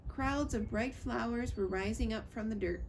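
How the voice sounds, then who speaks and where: Higher-voiced woman reading a children's storybook. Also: moderate pace, gravelly, darkish tone, a little hiss or hum, rough recording. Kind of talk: read-aloud narration of a children's novel.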